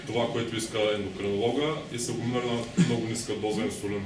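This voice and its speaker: A man interpreting a talk and summarizing quickly, with frequent filler sounds. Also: fairly low pitch, little pitch movement. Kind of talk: consecutive interpreting. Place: conference hall. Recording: echoey hall, distant mic, noise.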